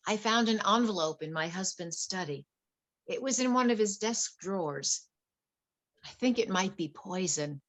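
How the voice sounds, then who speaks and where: A woman's voice: mid-range voice, animated, normal speed, lightly acted. A woman playing a wealthy, anxious wife in a play reading, earnest, polite and flustered. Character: wealthy, anxious wife confiding fears about her husband.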